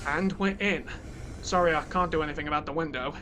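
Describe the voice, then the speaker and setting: A man's voice: fairly high pitch, fairly quick, background noise, natural voice. An actor playing a practical, doubting crewman of a research ship in an audio drama, matter-of-fact in manner.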